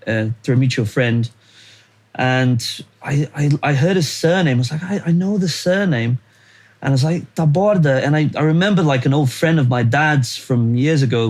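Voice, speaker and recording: A man's voice: mid-range voice, a little mellow. Easygoing Brazilian-accented man. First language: Portuguese. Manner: easygoing, searches for words. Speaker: musician guest. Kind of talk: casual interview chat.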